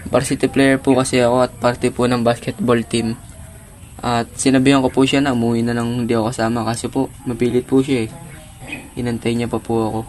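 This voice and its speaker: A man plays a courteous teenage boyfriend with a medium-pitched, even voice. He is respectful and apologetic, in plain amateur radio drama acting. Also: brisk, close to an everyday voice. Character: polite, apologetic teenage boyfriend, a varsity athlete.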